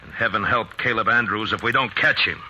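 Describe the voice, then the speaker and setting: Deep, steady frontier marshal played by a man, gentle but firm, noisy recording. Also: a little fast, highs cut off, natural voice. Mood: calm resolve. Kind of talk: vintage radio drama acting. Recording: noisy audio.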